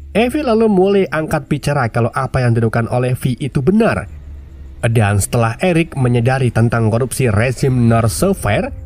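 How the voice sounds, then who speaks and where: A man's voice: medium pitch, darkish tone. A man narrating a film recap in a casual scripted style. His voice is stuffy and nasal from a cold.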